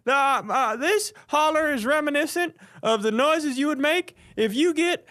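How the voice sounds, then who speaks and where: A man's voice: extremely high pitch, a little slow, bright, forceful tone. A man hosting a comedy podcast with casual, crude joking banter and a chuckling delivery.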